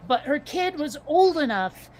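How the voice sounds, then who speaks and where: A woman's voice: sits high, sing-song, unhurried, darkish tone, slight background noise, poor audio. A woman chatting casually in a wry, rambling storytelling way. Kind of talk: casual chat.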